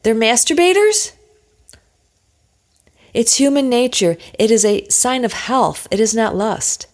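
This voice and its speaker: A woman's voice: fairly high pitch, lively intonation, a little slow. A woman giving an informal religious teaching talk, emphatic and insistent, repeating her points and posing rhetorical questions.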